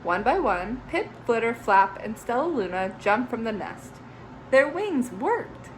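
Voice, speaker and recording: A woman teacher reading a children's picture book aloud, expressive, with chatty asides to young listeners. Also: fairly high pitch, unhurried, a little hiss or hum, rough recording.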